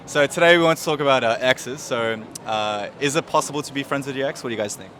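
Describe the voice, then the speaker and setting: A man's voice: medium pitch, fast talker, fairly bright tone, a little hiss or hum. A man hosting a podcast, asking strangers questions on a city street in a teasing, joking way. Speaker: podcast host interviewing passers-by. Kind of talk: casual street interview chat.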